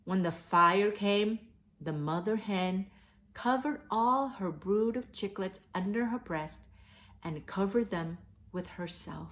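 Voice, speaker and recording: A woman reading and talking to children as a storyteller, with deliberate, emphatic phrasing. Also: mid-range voice, fairly bright tone. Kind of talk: children's read-aloud storytelling. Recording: echoey, roomy sound.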